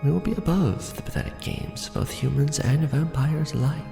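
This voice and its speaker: A man playing a gravelly, hushed vampire lord. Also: fairly high pitch. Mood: solemn, assured. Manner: formal, hushed, deliberate, theatrical aristocratic address. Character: vampire lord, courtly, brooding, possessive ruler. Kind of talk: ASMR roleplay. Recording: roomy echo under background music. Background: music.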